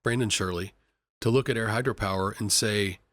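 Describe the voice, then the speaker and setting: An earnest executive from Kentucky talks in a casual podcast interview, his voice sitting a little low. He often trips over his words and restarts his sentences. The sound is dry and close, with no room echo. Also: a little fast, a bit bright. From Kentucky, USA. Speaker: company executive vice president. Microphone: studio podcast mic.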